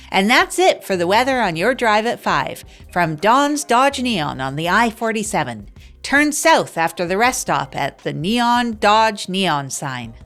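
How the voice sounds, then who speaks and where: A woman's voice: mid-range voice, a bit bright. A woman playing a fictional small-town radio host, rambling through a self-deprecating improvised comedy monologue. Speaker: radio show host character.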